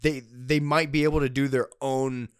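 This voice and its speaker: A man in his twenties co-hosting a casual entertainment news chat, excitable and often repeating words as he restarts sentences. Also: medium pitch, moderate pace, smooth, no echo, studio quality. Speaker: co-host of a gaming and film news show.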